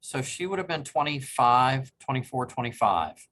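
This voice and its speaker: A man's voice: medium pitch, lively intonation, normal speed, fairly bright tone, a little hiss or hum. A man in his sixties from Cincinnati, Ohio, a reference librarian, telling his family history in a polite, reflective way with frequent ums. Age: 60s. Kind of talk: oral history interview.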